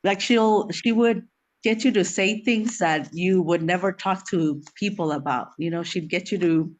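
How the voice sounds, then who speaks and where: A woman from El Salvador, raised in the US, gives an informal, heartfelt memorial tribute, speaking haltingly and repeating words as she searches for the next one. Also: on the low side. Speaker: friend giving a memorial tribute.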